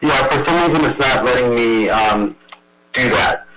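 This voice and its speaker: A man's voice: medium pitch, expressive, normal speed, pushed and bright, rough recording. A man presenting on a video call, talking hesitantly while he sorts out screen sharing.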